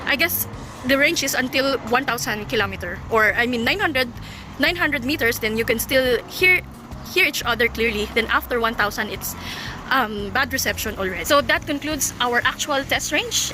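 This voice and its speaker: A woman's voice: a little high, normal speed, noisy background. A woman with a Filipino accent, a native Tagalog speaker, giving casual vlog commentary. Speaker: vlogger reviewing a product.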